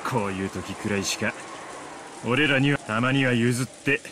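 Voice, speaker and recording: An actor playing a bold, cocky middle-aged man who talks in a rough, casual way. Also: medium pitch, quick pace, noisy background, lightly acted.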